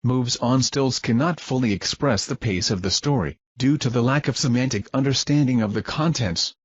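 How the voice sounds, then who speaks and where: A man with a slightly husky voice delivers formal, scripted research narration. He reads in a measured, expository way at a middling pitch. The recording is dry and a little dull. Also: dry recording. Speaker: research presenter.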